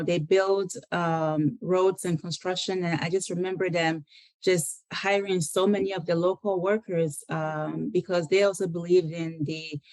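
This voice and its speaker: Podcast host, middle pitch, dry close sound, chatty with lots of restarts. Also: no echo, rough recording. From Ghana. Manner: warm-up remarks with frequent restarts. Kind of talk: conversational interview.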